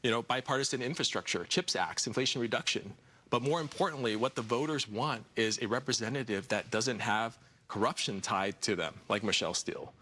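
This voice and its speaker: A man's voice: mid-range voice, fairly quick, bright, forceful tone. A man in his forties, a candidate answering questions in a TV news studio, earnest, with frequent fillers and repeated words. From United States. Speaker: congressional candidate. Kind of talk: TV studio interview.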